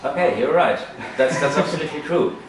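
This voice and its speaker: A man's voice: fairly high pitch, animated, a little fast. A man from Germany, answering interview questions in casual talk, with many restarts and repeated words. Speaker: musician interviewed. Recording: distant mic in a very echoey room with faint noise.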